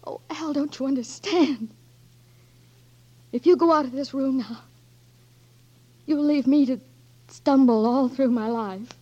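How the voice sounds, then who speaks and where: High-pitched loving wife, earnest and pleading, 1940s radio play, dull broadcast audio. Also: female voice, unhurried, long pauses, dark tone. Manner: pleading, tender, insistent. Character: devoted young wife pleading with her blinded Marine husband.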